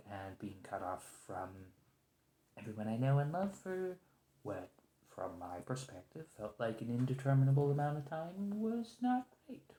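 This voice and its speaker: A man acting a stressed, weary captive special agent in an ASMR roleplay, rambling, halting and apologetic as he pleads, in a roomy recording. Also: mid-range voice, warm tone, natural voice. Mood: strained, pleading. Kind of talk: ASMR roleplay monologue, improvised conversational acting.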